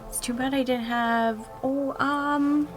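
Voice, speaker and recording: A woman's voice: high voice, steady tone, takes it slowly, rough recording. A woman chatting casually as a tabletop game player, thinking aloud about her moves. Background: music.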